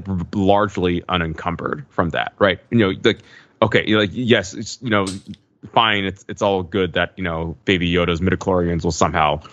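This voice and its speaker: A man's voice: low voice, fairly bright tone, lo-fi sound. A man co-hosting a podcast, talking casually and analytically in rambling sentences with many asides. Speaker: podcast co-host. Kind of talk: casual analytical pop-culture discussion.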